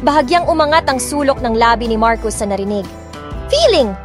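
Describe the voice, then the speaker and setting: A woman narrating a romance audiobook in the first person, with a voice pitched a bit high. She reads expressively and adds light dramatic touches, with faint music running underneath. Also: quick pace.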